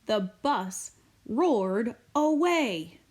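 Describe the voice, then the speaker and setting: A woman reading a children's storybook aloud as a read-aloud host, expressive and deliberate, with dramatic pauses and emphasis. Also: on the high side. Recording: roomy echo, distant microphone.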